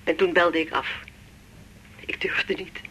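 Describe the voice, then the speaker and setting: An actress playing an ashamed, timid woman patient, apologizing in a hushed, halting way over a telephone in a radio drama. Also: on the low side, flat delivery, breathy edge, in a whisper, exaggerated voice.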